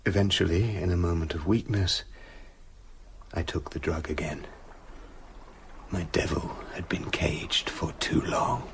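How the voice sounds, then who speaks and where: An actor playing a tormented British gentleman scientist confessing his dark side in a brooding, literary radio drama narration. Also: deep voice, unhurried, pauses often, slight background noise. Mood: grave, confessional.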